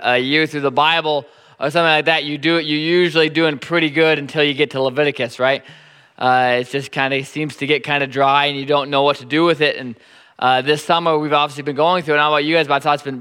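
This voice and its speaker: A man in his thirties with a Nebraskan accent, preaching a sermon in a halting, informal way that repeats words often. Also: medium pitch, a bit bright, smooth, in a large hall. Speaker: pastor.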